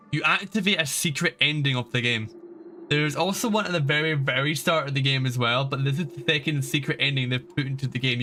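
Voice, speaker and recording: A man's voice: mid-range voice, bright, forceful tone. A young man livestreaming a video game, chatting casually and explaining things in a rambling, informal way. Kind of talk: casual stream chat and game advice.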